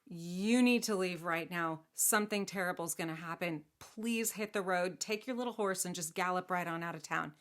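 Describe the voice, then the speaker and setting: A woman's voice: on the low side, moderate pace, few pauses, fairly bright tone, close to an everyday voice. A woman voicing a brave young princess in a told tale, blunt and insistent, with modern slangy phrasing. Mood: insistent warning.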